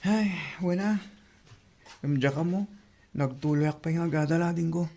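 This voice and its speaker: An actor playing a dutiful young student and elder brother in a radio drama, earnest and subdued, with a creaky, mellow voice. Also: medium pitch, moderate pace, close to an everyday voice. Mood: wistful, subdued. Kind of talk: radio drama acting in Ilocano.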